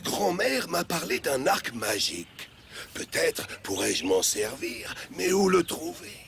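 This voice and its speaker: Slow, swooping old village storyteller. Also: male voice, fairly high pitch, vocal fry, heavily acted. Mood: dramatic storytelling. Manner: theatrical, expressive storytelling. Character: kindly old male village storyteller, patient and theatrical. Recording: music behind the voice. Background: music.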